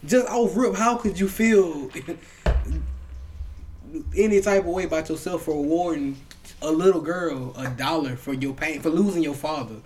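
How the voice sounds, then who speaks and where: A man's voice: fairly high pitch, normal speed, a little mellow, slight background noise. A young man hosting a podcast, telling stories emphatically and laughing often. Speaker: podcast host. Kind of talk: casual chat.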